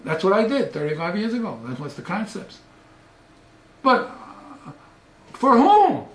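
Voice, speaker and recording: An older man, a professor, gives an animated, lecture-style philosophical talk into a headset mic in a small study, often trailing off into quieter asides. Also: high voice, a little slow, roomy sound, a little hiss or hum, low-quality recording. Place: small study with bookshelves, indoors.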